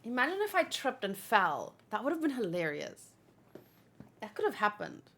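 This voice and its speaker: A woman host doing an excited, playful read-along of a manga, full of exclamations and acted bits. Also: a little high, moderate pace. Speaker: host reading a manga chapter aloud.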